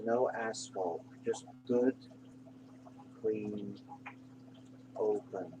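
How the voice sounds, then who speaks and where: Slow, reassuring man guiding a meditation. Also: mid-range voice. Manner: unhurried, reassuring, pausing between short phrases. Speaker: guided meditation narrator. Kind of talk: guided meditation, soothing scripted narration. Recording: clear background hum and noise.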